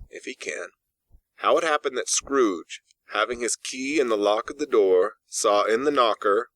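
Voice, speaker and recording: Low-voiced man narrating a classic story, dry sound. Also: a bit bright, no echo. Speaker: audiobook narrator. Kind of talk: scripted storybook narration of a classic ghost tale.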